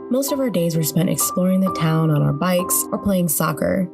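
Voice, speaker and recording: A woman with a fairly low voice reads scripted narration in a storytelling style. Music plays clearly behind her. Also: lively intonation, normal speed.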